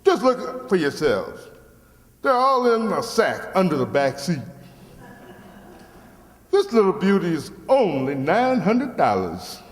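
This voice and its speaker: An actor playing a shameless, folksy used-car salesman in old-time radio comedy, with theatrical, drawn-out sales patter. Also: high-pitched, wildly swooping pitch, full character voice. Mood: boastful pitching. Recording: echoey hall with long reverb.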